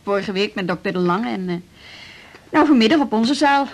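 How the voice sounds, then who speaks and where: A woman's voice: medium pitch, animated, moderate pace, lightly acted. An actress playing a kindly, plain-spoken woman on a hospital staff in a radio drama, matter-of-fact and sympathetic. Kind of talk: naturalistic Dutch radio drama dialogue.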